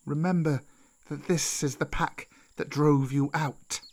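Ancient, grudging giant python for a storybook, performed by a man; measured, drawn-out speech, wise. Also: a little high, fairly even intonation, a little mellow, lightly acted. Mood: gentle, probing. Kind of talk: children's storybook character voice.

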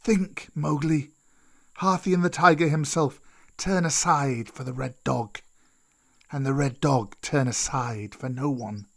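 A man voicing a wise, grudging old giant python in a children's storybook, with drawn-out, deliberate delivery. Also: mid-range voice, fairly even intonation, creaky, a bit bright. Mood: calm, knowing.

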